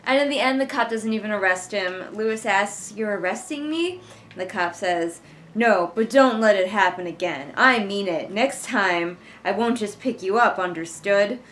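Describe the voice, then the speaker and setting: A woman's voice: mid-range voice, animated, moderate pace, a bit bright. A young woman giving casual, sarcastic commentary as she reads along with a book, in an exasperated, informal way. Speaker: book reviewer reading along. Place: living room couch, indoors. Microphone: camera a short distance away.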